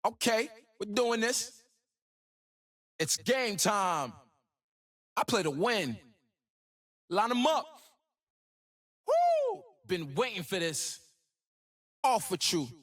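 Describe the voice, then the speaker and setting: A man's voice: sits high, wildly swooping pitch, takes it slowly, long pauses, fairly bright tone, exaggerated voice. An actor voicing a cocky, ambitious young male hip-hop star in a video game, with swaggering, slangy, rhythmic delivery. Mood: taunting. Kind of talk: game voice lines.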